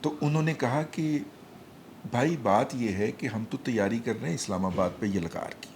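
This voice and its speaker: A man's voice: medium pitch, moderate pace, darkish tone. A man on a political talk panel, telling a personal story with rising animation. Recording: faint background noise.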